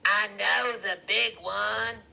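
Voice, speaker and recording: Guy doing a coarse biker lady for an audiobook, high drawly voice, pushy and slangy, sharp edge. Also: steady tone, slow, vocal fry, full character voice. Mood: suspicious, musing. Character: brassy, squinty woman, biker gangster's coarse companion.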